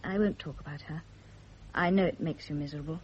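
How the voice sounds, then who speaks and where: An actress playing a girlish, impulsive young British mistress, besotted and jealous, with a breathy voice, in radio drama style. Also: sits low, a little fast, a little hiss or hum, lightly acted. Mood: adoring. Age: young adult. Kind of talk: 1970s radio drama acting. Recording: muffled vintage radio drama recording.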